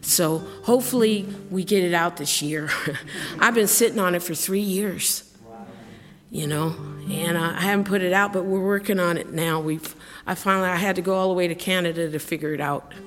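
A woman's voice: lowish voice, normal speed, big-room sound, over music. A middle-aged woman, a singer-songwriter, telling a rambling, chatty story between songs at a live show, laughing as she goes. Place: live concert venue with audience.

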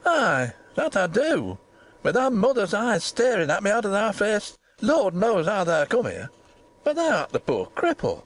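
An indignant old Yorkshire gardener, voiced by a woman reader, blustering in broad dialect. The hoarse, shaky delivery leaps dramatically up and down in pitch, like a storybook character full of bluster. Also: huge pitch swings, a little slow. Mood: shaken, awed.

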